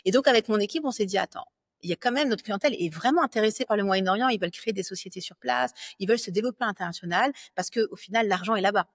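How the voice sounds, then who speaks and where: Woman, French, thirties, interview guest, medium pitch, confident, fluent, fillers and restarts, dry close sound. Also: racing, dry recording. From France. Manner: fluent, self-assured, many fillers and self-corrections. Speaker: business lawyer guest. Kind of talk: interview conversation.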